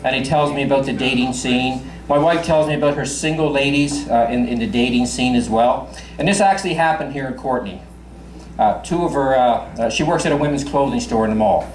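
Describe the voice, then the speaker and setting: A man's voice: medium pitch, fairly quick, slight background noise. A man in his fifties from Newfoundland with a faint Newfoundland accent, doing crude, chatty stand-up in a bar and swearing freely. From Newfoundland, Canada. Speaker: stand-up comedian. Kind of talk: stand-up comedy. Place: bar stage. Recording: echoey bar with crowd.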